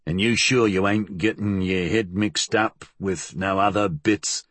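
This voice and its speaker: A man voicing a huge, shaggy, wary old crook in an audiobook, with a rough dialect. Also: sits low, fairly even intonation, a bit bright, no room sound. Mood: gruff doubt. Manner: rough dialect, slow and appraising.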